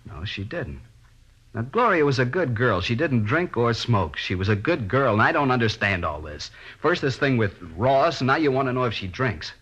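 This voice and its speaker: A man playing a bewildered, protective middle-aged father in a radio drama, plain-spoken and defensive, with a husky voice. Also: medium pitch, sing-song, normal speed, a bit bright. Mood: indignant, wounded. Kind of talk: 1950s radio drama acting. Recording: muffled old-time radio recording.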